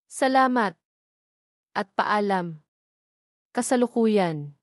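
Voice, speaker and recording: A woman's voice: mid-range voice, lively intonation, takes it slowly, no echo, studio quality. A woman narrator voicing a proud, wounded young heiress in an audio love drama, restrained and resolute. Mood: restrained sorrow.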